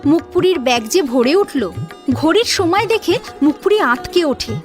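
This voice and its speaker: A woman's voice: extremely high pitch, lively intonation, normal speed. A woman narrating an audio story in a dramatic, scripted storytelling style. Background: faint background music.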